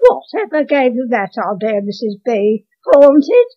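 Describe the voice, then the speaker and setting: Elderly woman doing a loud, scoffing cleaning lady. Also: fairly high pitch, very animated, a little slow, highs cut off, pitched above the performer's own voice. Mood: amused disbelief. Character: down-to-earth female cleaner, amused, scoffing.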